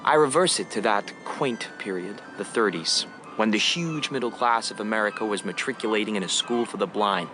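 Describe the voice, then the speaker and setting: A man performs as a wistful young son narrating a radio play. His voice is low, his delivery measured and poetic as he speaks straight to the audience. Music plays clearly behind him. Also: lightly acted. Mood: wry, lyrical. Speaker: actor playing a narrator. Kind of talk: theatrical radio drama monologue.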